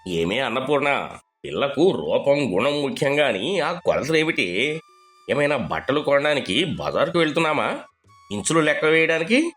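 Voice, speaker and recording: A man's voice: medium pitch, big pitch swings, moderate pace, a bit bright, soft background music. A man voicing a sensible village father-in-law who teases and chides in a reasonable way, in a moral story. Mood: teasing. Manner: chiding, reasonable. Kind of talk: Telugu moral story character voice.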